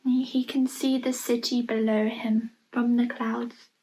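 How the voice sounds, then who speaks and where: A woman reading a children's picture book aloud in an expressive storytelling manner, pausing to point out the pictures. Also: fairly high pitch, rough recording. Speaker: storybook reader.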